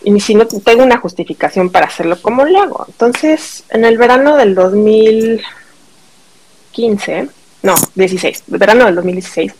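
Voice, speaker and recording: Mexican woman telling stories, creaky voice. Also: mid-range voice, normal speed, a little mellow, slight background noise, low-quality recording. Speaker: podcast guest. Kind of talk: personal storytelling in casual conversation.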